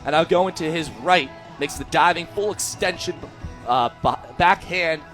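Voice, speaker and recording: A man's voice: fairly high pitch, normal speed, fairly bright tone, faint music behind. An American man working as a color commentator on a live baseball broadcast, giving emphatic, opinionated analysis.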